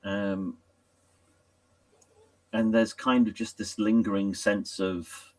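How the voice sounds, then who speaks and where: A man improvising narration as a tabletop game master in a halting, hesitant way, often pausing mid-sentence. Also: fairly low pitch, level tone, no echo, recorded from a distance.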